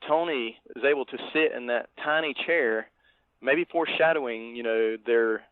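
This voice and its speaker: A man co-hosting a podcast, talking casually about movies in a halting, reflective way. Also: medium pitch, no echo. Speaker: podcast co-host. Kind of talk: casual movie review chat. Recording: thin phone-like line, dry.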